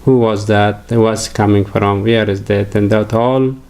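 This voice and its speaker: An older man from Afghanistan with an Afghan accent, a native Farsi speaker, giving a halting religious lecture full of fillers and restarts. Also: lowish voice, little pitch movement, vocal fry, darkish tone. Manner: hesitant, many fillers and restarts.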